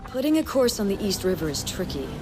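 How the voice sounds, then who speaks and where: A woman plays a racing team boss in a video game cutscene. She is assured, exacting and wry, with a lively, swinging intonation over clearly audible music. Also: medium pitch, fast talker, close to an everyday voice. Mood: wry, cautionary.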